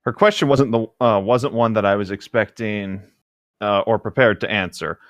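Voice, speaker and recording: A man's voice: lowish voice, moderate pace, no echo. A man co-hosting a read-along, reading from a novel and breaking off for wry, casual asides. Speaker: co-host of a group read-along show. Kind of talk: casual chat mixed with reading a novel aloud.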